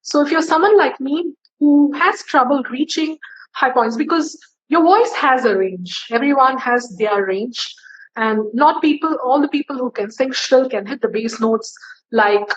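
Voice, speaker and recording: A woman's voice: measured pace, slightly rough. Chatty woman singer on a muffled call. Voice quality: low, bass-leaning voice for a woman. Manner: chatty, giving friendly tips with many fillers. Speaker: singer, interview guest. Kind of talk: casual interview chat.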